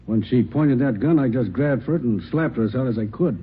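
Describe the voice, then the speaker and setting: A man acting as a folksy, self-satisfied fellow telling his story in an old radio police drama. He is chatty and boastful, in a mid-pitched voice, heard on a thin recording with faint noise. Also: a little fast, narrow, tinny audio, natural voice. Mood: pleased, chatty. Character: talkative, self-satisfied male tourist reporting a robbery attempt.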